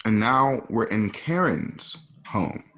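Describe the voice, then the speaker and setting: A man's voice: lowish voice, lively intonation, slow, dull audio. A man narrating scene directions informally, with casual conversational asides. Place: at home.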